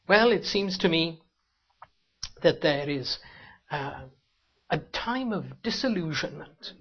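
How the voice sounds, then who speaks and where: A man's voice: breathy edge, muffled recording. A Welsh man preaching a sermon in a church, talking to the congregation in a conversational way and asking rhetorical questions. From Wales. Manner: conversational, rhetorical questions, rises sharply in pitch for emphasis. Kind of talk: sermon with opening prayer. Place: church hall.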